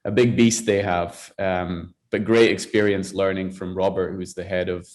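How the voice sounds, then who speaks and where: Scottish male guest, 30s, low and steady delivery, chatty interview storytelling. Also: moderate pace. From Scotland. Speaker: guest, athletics director. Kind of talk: podcast interview.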